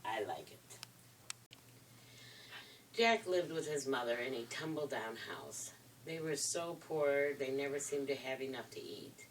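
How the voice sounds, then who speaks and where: An older woman reading a storybook aloud for children in a deliberate, expressive way. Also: rumbling low. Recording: distant microphone, faint background noise.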